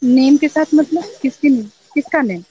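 A woman's voice: high voice, very fast, warm tone. A woman from Nepal, a guest in a casual online voice call chat, who often asks the other speaker to repeat himself. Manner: keeps asking to be repeated. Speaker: podcast guest. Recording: dull, dry online call audio.

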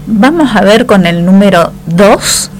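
A woman co-hosts a casual radio conversation in a medium-pitched voice. She is agreeable, often echoing and affirming what was said. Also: expressive, takes it slowly, soft background music. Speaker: radio co-host. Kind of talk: casual on-air chat.

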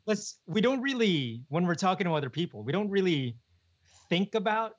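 A young man, co-hosting a podcast in relaxed, chuckling casual chat. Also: high voice, normal speed. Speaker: podcast co-host. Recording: dull lo-fi podcast audio.

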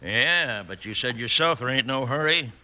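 A Western outlaw's sidekick for old-time radio. His mid-pitched voice is extremely bright and cutting, and he talks in folksy cowboy slang as he soothes his boss. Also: expressive, moderate pace. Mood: placating, wry. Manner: slangy rustic Western dialect. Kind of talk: 1950s Western radio drama acting.

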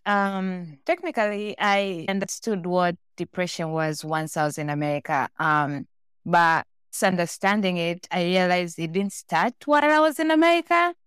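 A woman's voice: lowish voice, clear, resonant tone, no room sound, studio quality. A woman from Tanzania talking casually and candidly as a podcast guest, telling personal stories. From born in Tanzania, raised in several countries.

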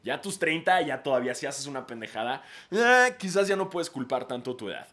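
A man's voice: medium pitch, expressive, a little fast, fairly bright tone. A man in his thirties with a Mexican accent, hosting a podcast and giving casual, profanity-laced advice, throwing in slang after almost every phrase. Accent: Mexican Spanish. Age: 30s. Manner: swears freely and throws in "güey" constantly. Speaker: podcast host.

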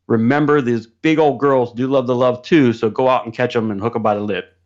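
Dull, lo-fi call audio carries a man's mid-pitched voice. He chats as a guest on a livestream, friendly and informal. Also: steady tone, brisk, a little mellow. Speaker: podcast guest. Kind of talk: casual chat.

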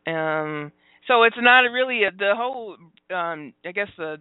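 A woman in her forties hosting a phone-in radio talk show, talking openly about her own life with many pauses, fillers and laughs. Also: mid-range voice, lively intonation, clear, resonant tone, dry recording. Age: 40s. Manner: open and confessional, halting with fillers, laughs often. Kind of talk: candid phone-in radio chat. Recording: dry, tinny phone-like sound.

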